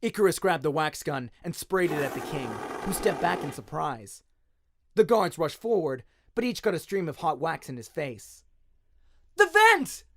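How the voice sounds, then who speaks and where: A man's voice: a little high, animated, moderate pace. A man narrating a scripted story dramatically, in the manner of an audio drama. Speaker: narrator, voice actor. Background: music and sound effects.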